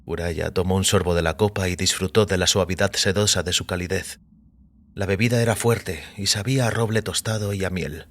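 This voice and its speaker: A man narrating scripted audio fiction in a measured, literary delivery. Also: deep voice, fairly even intonation.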